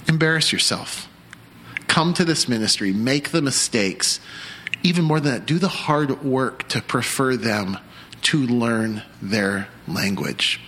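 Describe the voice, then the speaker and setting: A young man preaching an earnest church sermon, pausing and restarting as he works through his points. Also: medium pitch, bright, forceful tone, a little hiss or hum, low-quality recording. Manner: earnest, with frequent restarts and pauses. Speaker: pastor.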